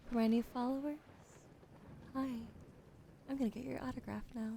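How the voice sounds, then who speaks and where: A woman streaming ASMR, whispering softly to her chat and slowly spelling out follower names, now and then swearing. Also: high-pitched, steady tone, creaky, slight background noise. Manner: slow and gentle, swears and laughs in between. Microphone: studio mic.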